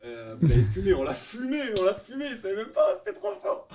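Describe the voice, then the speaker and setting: A man's voice: sits high, wildly swooping pitch, fast talker, a crowd in the background. A man in loose, slangy prank-call banter, pushy and repeating himself in street slang. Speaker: prank caller.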